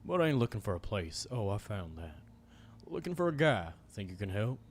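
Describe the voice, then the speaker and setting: A man voicing a menacing male cowboy villain who taunts in a slow drawl. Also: on the low side, big pitch swings. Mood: taunting.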